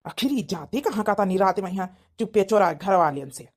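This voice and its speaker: A woman's voice: medium pitch, lively intonation, brisk, natural voice. Woman voicing a worried, muttering young village husband. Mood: suspicious. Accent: Awadhi. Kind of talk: village comedy cartoon acting.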